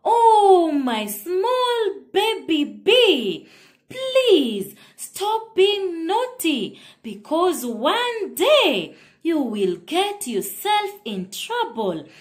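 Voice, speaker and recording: A woman plays a storybook mother bee with a high voice, admonishing her little one. She speaks slowly and pleadingly, with big swoops in pitch. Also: sing-song, exaggerated voice. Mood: concerned pleading.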